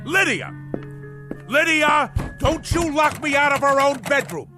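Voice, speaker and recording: A man's voice: very high voice, measured pace, over music. An actor in a radio drama playing a pompous, blustering middle-aged businessman who talks in bombastic nautical phrases. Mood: outraged. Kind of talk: theatrical radio drama acting.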